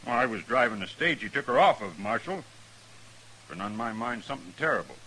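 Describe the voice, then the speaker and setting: A plain-spoken stagecoach driver of the Old West. His rural American voice is very sharp and forceful, earnest and direct. It is an old radio western with faint hiss. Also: medium pitch, sing-song, fairly quick, low-quality recording. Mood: troubled, determined. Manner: rustic, direct. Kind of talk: 1950s radio western acting.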